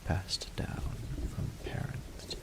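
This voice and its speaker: A man playing a proud, menacing and seductive dragon ruler in a romantic audio roleplay, speaking formally in a breathy, gravelly half-whisper. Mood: cold, imperious. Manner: haughty, formal, deliberate.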